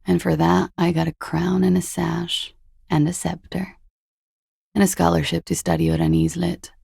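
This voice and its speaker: Young party-girl femme, video game dialogue, husky, very gravelly fry, dry understatement, cool and guarded. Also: lowish voice, fairly even intonation, normal speed, warm tone, no room sound, exaggerated voice. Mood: dry, bored. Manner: languid, dry, sardonic understatement. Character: cool, evasive young former beauty queen and party girl. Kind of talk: video game dialogue, low-key and naturalistic.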